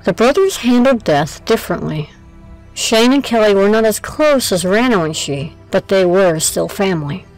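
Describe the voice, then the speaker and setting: A woman's voice: medium pitch, lively intonation, normal speed, darkish tone, soft background music, poor audio. A woman narrating a scripted audio drama as its storyteller.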